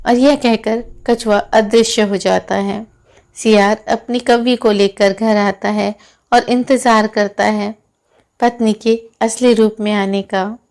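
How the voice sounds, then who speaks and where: A woman's voice: medium pitch, fairly even intonation, slow, a little mellow. A woman narrating a moral story for children as a storyteller.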